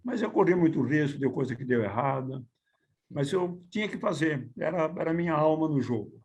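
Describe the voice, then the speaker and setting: Higher-voiced Brazilian man in his seventies. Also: animated, a little fast, darkish tone, slight background noise. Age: 70s. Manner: repeats words, informal storytelling. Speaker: veteran theatre producer, main speaker. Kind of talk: casual reminiscing in a group online talk.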